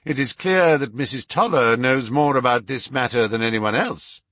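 A man voicing a keen, commanding male detective in a storybook, with brisk, formal, authoritative phrasing. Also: medium pitch, a bit bright, no room sound, studio quality, lightly acted. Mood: inquiring.

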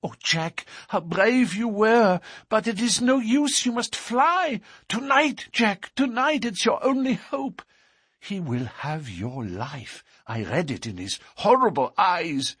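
A man's voice: fairly high pitch, wildly swooping pitch, measured pace, a bit bright, slightly rough, no room sound, studio quality, pitched above the performer's own voice, exaggerated voice. A man voicing a frightened young woman in love, breathless and imploring, in a storybook reading. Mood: fearful.

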